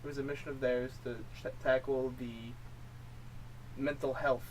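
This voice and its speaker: A man's voice: medium pitch, flat delivery, moderate pace, a little mellow. A man co-hosting a film review show, chatting casually and explaining his opinions. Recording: distant mic, faint room noise.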